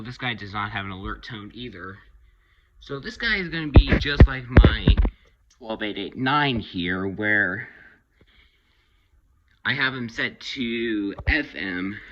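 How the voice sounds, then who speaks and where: A man with a lowish, very bright and forceful voice talks through a casual product review. He goes slowly and haltingly, often pausing partway through a thought. The sound is somewhat dull and lo-fi. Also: slight background noise. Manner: halting, rambling delivery with pauses mid-sentence. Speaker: hobbyist video reviewer.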